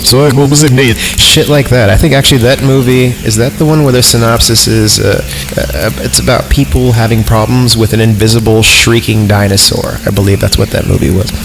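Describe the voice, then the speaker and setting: A man's voice: mid-range voice, fairly even intonation, a little fast, hardly any pauses, background noise. A man reading horror stories on a podcast, breaking off into irreverent, slangy, sweary joking commentary. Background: music.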